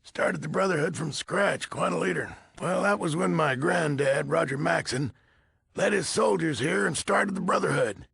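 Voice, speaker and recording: An actor playing a gruff, blunt, folksy old military leader in a video game, with a gravelly, husky voice. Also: male voice, on the high side, moderate pace, fairly bright tone, no room sound, clean studio sound, full character voice. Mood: gruff, matter-of-fact. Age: older. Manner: blunt, folksy, swearing, easily exasperated. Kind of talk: video game character dialogue.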